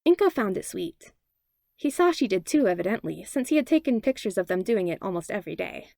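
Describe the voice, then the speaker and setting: A woman reading fan fiction aloud as a podfic narrator, with expressive, animated storytelling. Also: medium pitch, a little fast, creaky, dry recording, clean studio sound. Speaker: podfic reader.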